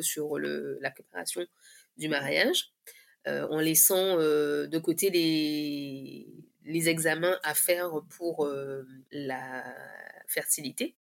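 A woman in her thirties giving a candid personal testimony in conversation, often pausing mid-phrase as she searches for words. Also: low-pitched, gravelly, a bit bright. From Guadeloupe. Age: 30s.

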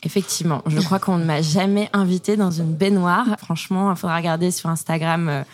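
A woman's voice: on the low side, steady tone, a little fast. A woman hosting a podcast, reading a scripted introduction and then asking friendly interview questions. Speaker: podcast host.

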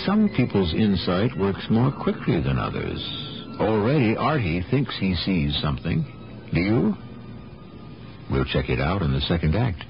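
A man playing the grave, knowing host of a radio mystery drama, narrating in a deliberate, portentous way. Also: low voice, huge pitch swings, vocal fry, exaggerated voice. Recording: dull 1970s radio broadcast, music behind.

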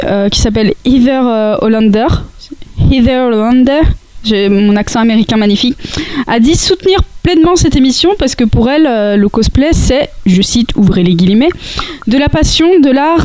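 A woman's voice: fairly high pitch, darkish tone, no echo. A woman giving a casual podcast segment on cosplay, talking with many hesitations and restarts.

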